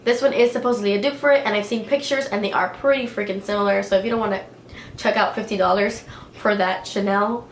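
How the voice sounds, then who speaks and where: A woman's voice: medium pitch, steady tone, quick pace, a little hiss or hum. Stuffy-nosed woman chatting about makeup. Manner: chatty, lots of "like" fillers. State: congested with a cold. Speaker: beauty vlogger. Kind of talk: casual product review. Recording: echoey room, faint ambience.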